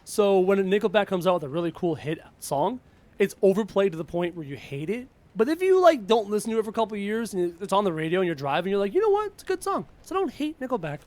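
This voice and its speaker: A young Canadian man streams a game live and chats with viewers, his voice on the high side for a man. He talks loosely, reads out questions, and breaks into frustrated outbursts at the game. A slight sickly cough hangs over his voice. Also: very animated, quick pace, darkish tone. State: sick with a cough. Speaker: gaming streamer. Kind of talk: casual live-stream chat while playing.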